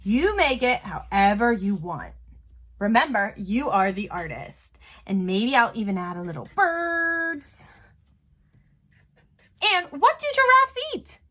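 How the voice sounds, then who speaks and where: A woman teaches young children to draw, one step at a time. Her voice is bright and swings widely in pitch. She takes it slowly, using simple and encouraging phrases as an elementary art teacher would. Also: very animated.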